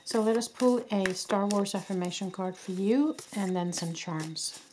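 A woman with a voice worn from a cold, giving a gentle, reassuring pick-a-card tarot reading with many fillers and restarts. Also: mid-range voice, measured pace, slight background noise. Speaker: tarot reader. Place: indoors at a candlelit table. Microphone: camera above a table, hands only.

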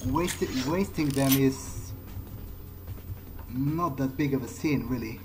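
Man narrating his game over loud music. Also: mid-range voice, slow. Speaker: gamer role-playing a character. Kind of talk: in-character game playthrough commentary, talking to self.

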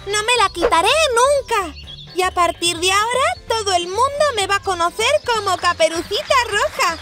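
A woman doing a squeaky singsong little girl. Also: lively intonation, moderate pace. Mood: cheerful. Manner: sing-song, squealing exclamations. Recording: children's music underneath.

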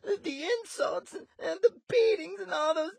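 Tiny high crying boy, bitter and stammering, creaky, horror game character. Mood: tearful, bitter. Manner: stammering, slow. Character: small, tormented, weeping, bitter bullied little boy. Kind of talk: horror video game voice acting.

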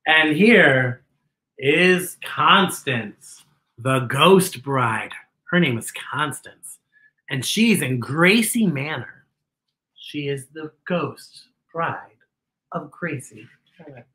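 A middle-aged man reading a picture book aloud to children with theatrical, exaggerated, drawn-out delivery. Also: a little high, expressive, bright, forceful tone, reverberant. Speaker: children's storytime host.

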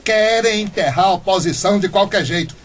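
A man's voice: high voice, a little fast, slight background noise, lightly acted. An actor playing a stubborn, indignant small-town man with grandiose political dreams in a Brazilian comic radio soap, blustering theatrically.

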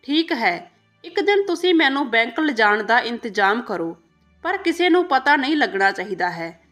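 A woman's voice: a little high, very animated, moderate pace, a bit bright, faint music behind. A woman voicing a frail, bedridden old rich man in a storybook tale, grave, sorrowful and resigned. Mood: quietly secretive.

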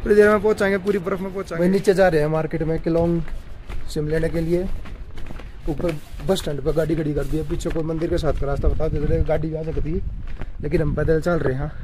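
A man's voice: a little high, level tone, fast, a little mellow. A man in his thirties chatting casually to viewers as he vlogs a road trip, filming on a handheld phone outdoors and from a car. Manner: chatty, keeps calling viewers "brother". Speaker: travel vlogger. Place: outdoors on mountain roads and in a car. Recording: noisy outdoor phone recording.

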